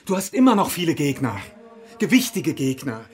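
An actor in a German radio play, playing a worried, loyal monk who speaks in an earnest, admonishing way. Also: male voice, a little high, sing-song, normal speed, soft background music. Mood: warning. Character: anxious, cautious monk, loyal companion of a reformer.

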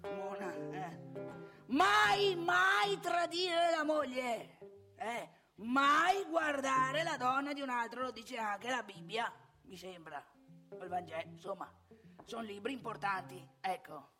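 A woman's voice: mid-range voice, lively intonation, a little slow, pushed and bright, soft background music. A woman playing a cantankerous, opinionated old married man in a theatrical comic monologue, with a northeastern Italian accent, scolding and haranguing in rhetorical questions. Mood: preachy, proud.

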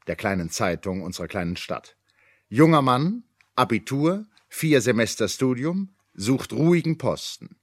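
An actor playing a wry, knowing narrator in a 1960s German radio comedy, with ironic, crisp phrasing. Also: male voice, medium pitch, no room sound, clean studio sound. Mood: dry.

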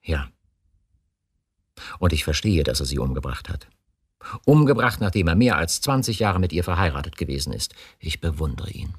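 Deep, husky, embittered police chief. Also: male voice, big pitch swings, normal speed, long pauses, heavily acted. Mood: brooding. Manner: brooding, sarcastic. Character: embittered middle-aged police chief, a weary husband. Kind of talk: radio drama acting.

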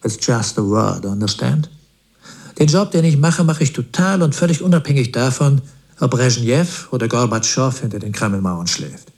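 An actor playing a smug, ruthless rock-business boss in a radio play, boastful, self-assured and cynical. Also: male voice, mid-range voice, a little mellow, natural voice.

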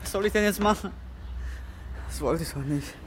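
A man's voice: sits high, normal speed, soft background music, close to an everyday voice. A man acting a panicked, distraught young son in a radio crime drama. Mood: panicked, remorseful. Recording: loud noise with clicks and thuds.

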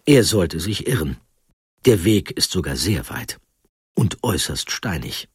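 Male narrator for history feature, low husky voice, measured scripted delivery with dramatic pauses. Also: big pitch swings, no echo, clean studio sound.

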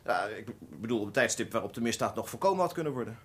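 A man's voice: lowish voice, animated, racing, lightly acted. A man acting in a radio drama as an eager, indignant young police detective, insistent and argumentative. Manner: insistent, argumentative, stammering when challenged.

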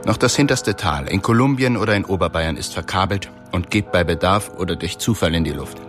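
Brooding doom-prophet cook, very low, music under. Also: male voice, lively intonation, brisk. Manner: brooding, solemn, apocalyptic. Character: male cook in windowless basement kitchen, grim prophet of doom. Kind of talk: radio play monologue.

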